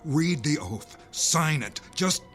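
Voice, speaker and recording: An actor playing a stern, impatient true believer in a radio drama, urging insistently. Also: male voice, a little high, lively intonation, a bit bright, faint music behind. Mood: urgent, pleading. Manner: insistent, commanding, drawn-out urging. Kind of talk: modern radio drama acting.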